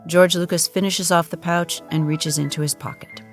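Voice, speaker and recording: A woman narrates an audio drama in a scripted storytelling style. Her voice sits very low for a woman, and faint music plays beneath her. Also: steady tone, moderate pace.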